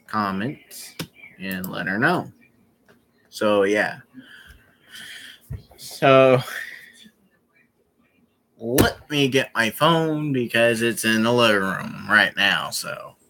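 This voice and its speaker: Young guy streaming, talks super slow, bright voice, lots of ums and restarts. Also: on the low side, animated, slight background noise, low-quality recording. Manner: rambling, halting, many fillers and restarts. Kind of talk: casual live-stream chat.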